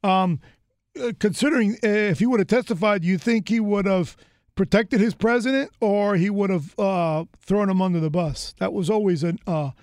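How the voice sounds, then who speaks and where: A man's voice: high-pitched, normal speed, darkish tone, dry recording, clean studio sound. A man from the United States hosting an interview in a rambling, chatty way, full of fillers and restarts. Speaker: host. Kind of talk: casual interview chat.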